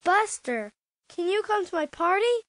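A woman voicing an eager, excitable young schoolboy in a children's storybook game. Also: very high voice, normal speed, dry recording. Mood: excited.

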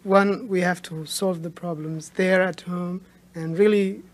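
A man's voice: high voice, fairly even intonation, unhurried. A young man from Somalia in a studio audience, asking a question at a stand mic, hesitant and searching for words. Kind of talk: unscripted question.

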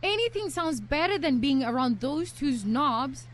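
A woman's voice: sits high, expressive, a little slow. A young woman acting an easygoing, well-behaved reality show contestant in a student radio play. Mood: annoyed. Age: young adult. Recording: live radio studio, faint background noise.

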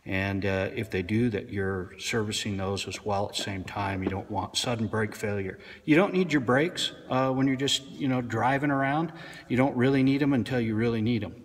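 A man's voice: fairly low pitch, normal speed. Mechanic man, forties, casual shop tutorial, lots of "uh"s, phone-mic sound, echoing garage. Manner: plain-spoken, frequent "uh" fillers. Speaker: auto mechanic. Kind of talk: casual how-to instruction. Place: car repair shop garage. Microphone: handheld phone camera at arm's length. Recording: reverberant shop room.

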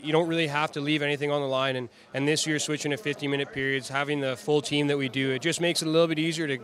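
A young man, a hockey player, answering post-game interview questions quickly and with many restarts, into handheld reporters' mics at an indoor media backdrop. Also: a little high. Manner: fast, even, with many restarts. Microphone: handheld reporters' mics, close.

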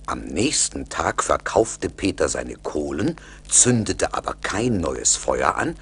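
An actor narrating a fairy tale for a 1980s radio play in a deliberate, expressive storytelling manner. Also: male voice, extremely low pitch, slightly rough, full character voice. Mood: measured, storytelling. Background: music.

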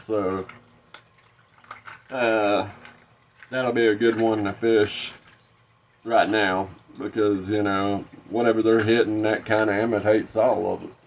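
A Southern man from Arkansas in his thirties, unboxing fishing lures at a desk in a small tackle-packed room. He drawls along very slowly, stopping often for "uh" and starting over. The webcam sound is a little dull and lo-fi. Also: mid-range voice, a little hiss or hum. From Arkansas, USA. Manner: slow, rambling, many "uh" fillers and restarts. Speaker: fishing channel host. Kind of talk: casual unboxing vlog.

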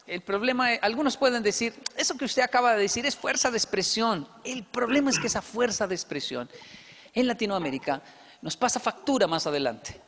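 High-voiced Colombian man on a reverberant stage. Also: slight background noise. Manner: lively, acts out dialogue with quick asides. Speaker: motivational speaker. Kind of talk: stage talk with storytelling. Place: large indoor stage hall. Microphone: handheld microphone. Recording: long hall reverb.

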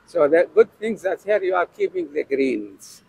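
An older man from Arabia, answering a street interview in a slow, halting way on a city street into a handheld mic. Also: high voice, sing-song, a little mellow, a little hiss or hum, low-quality recording. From Saudi Arabia. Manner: slow and halting, searching for words. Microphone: handheld mic held toward him.

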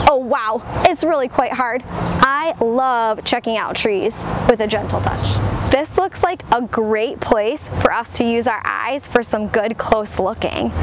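A young woman hosting a nature walk for children outdoors in a city park, speaking gently and encouragingly and pausing for answers. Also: on the high side, noisy background. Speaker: museum educator hosting a kids' nature show.

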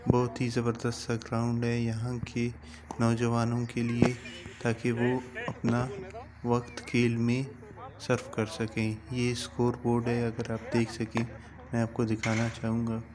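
A man in his thirties, a travel vlogger, walking a cricket ground and talking to a phone camera at arm's length in an unhurried, repetitive way. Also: medium pitch, crowd noise. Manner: flat, steady and unhurried, with many repeats. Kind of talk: casual walking vlog. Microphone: phone camera held at arm's length.

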